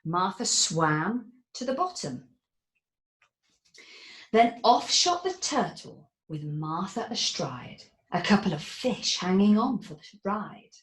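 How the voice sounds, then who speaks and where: A woman reading a rhyming picture book aloud in an animated, theatrical way. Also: medium pitch, takes it slowly. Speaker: narrator.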